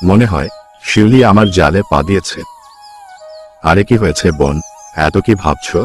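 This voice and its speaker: A man's voice: sits low, expressive, moderate pace, soft background music. A man voicing a sly middle-aged village headman in a cartoon, coaxing with false friendliness. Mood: gloating. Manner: persuasive, wheedling, falsely friendly. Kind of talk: Bengali cartoon story voice acting.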